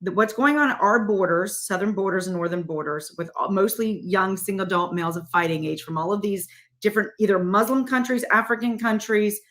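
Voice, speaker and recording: A woman's voice: medium pitch, a little fast, fairly bright tone. A middle-aged woman, a guest in an interview, speaking emphatically and with rhetorical questions aimed straight at the listener. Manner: insistent, rhetorical questions, direct address to viewers. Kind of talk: emphatic political interview talk.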